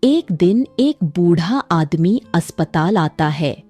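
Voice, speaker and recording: A woman's voice: on the low side, animated, slow, warm tone, slightly rough, no echo, faint music behind. A woman reading a scripted moral story as a dramatic narrator.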